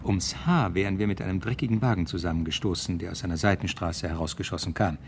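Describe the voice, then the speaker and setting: An actor playing an earnest young police constable, a newcomer who also narrates the German radio play. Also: male voice, low-pitched, fast talker, background noise. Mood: reflective narration.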